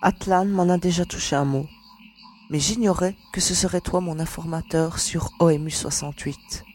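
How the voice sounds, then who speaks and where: A performer playing a spirited, independent young woman commando in an audio drama, with lively, emphatic banter. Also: medium pitch, normal speed, slight background noise, lightly acted.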